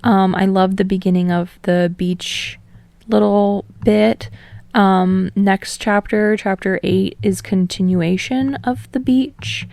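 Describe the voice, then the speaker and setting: A woman narrator reading fiction aloud in an expressive, storytelling style. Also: mid-range voice, slow pace, vocal fry, no echo. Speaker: podfic narrator.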